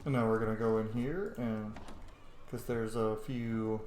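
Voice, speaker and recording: A man's voice: on the low side, steady tone, measured pace, a little mellow, reverberant, a little hiss or hum. A man hosting a playthrough with casual, informal commentary, chatty asides and some mild swearing. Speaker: gaming host.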